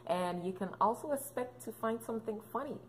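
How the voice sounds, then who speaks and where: Thirtysomething mom vlogging, jokey and rambly, chatty to camera. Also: female voice, a little high, fairly quick, a little hiss or hum, rough recording. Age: 30s. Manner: playful, joking, rambling with many fillers. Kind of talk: casual chatty vlog talk.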